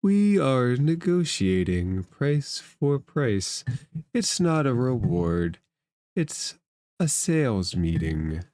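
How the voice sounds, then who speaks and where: A man voicing a condescending, deliberate merchant negotiator in a tabletop fantasy game. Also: medium pitch, big pitch swings, a little mellow, no room sound. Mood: firm, bargaining.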